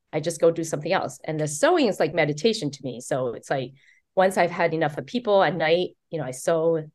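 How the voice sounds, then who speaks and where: A middle-aged woman from Taiwan, an author being interviewed, chatting casually and acting out the quotes in her stories with excitement. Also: lowish voice. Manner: animated, excited storyteller who acts out quotes. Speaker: author and guest.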